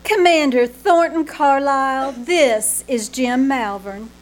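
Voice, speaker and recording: A woman playing a zany, sharp-tongued, self-dramatizing Hollywood actress in old-time radio comedy, performed live on stage. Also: high voice, takes it slowly. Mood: breezy. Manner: melodramatic, mock-grand, insulting.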